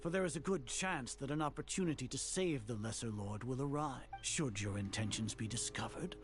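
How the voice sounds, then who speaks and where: A man's voice: mid-range voice, lightly acted. A male actor playing a haughty, fanatical grand sage in a video game, speaking in pompous, formal phrases over music. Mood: cold, condescending. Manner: pompous, formal, deliberate diction. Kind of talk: dramatic video game voice acting.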